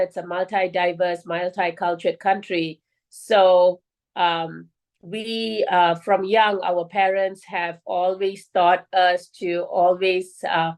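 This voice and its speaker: A woman from Malaysia, a guest in an interview, telling her story earnestly with frequent fillers and restarts. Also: fairly low pitch.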